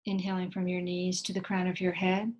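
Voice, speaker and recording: A woman leading a guided meditation in a gentle, unhurried way, leaving long pauses between short instructions. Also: lowish voice, monotone, highs cut off.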